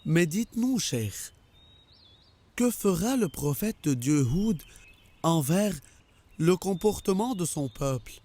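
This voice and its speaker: An earnest, respectful cartoon character seeking answers. His voice is a bit high and very expressive in pitch, with slow, deliberate phrasing. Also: heavily acted. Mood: earnest inquiry. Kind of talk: French cartoon dubbing.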